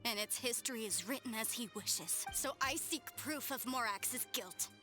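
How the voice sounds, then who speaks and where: A woman's voice: fairly high pitch, normal speed, over music, lightly acted. A woman voicing a secretive young descendant of a fallen clan in a game, polite but tense. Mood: resentful. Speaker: game character.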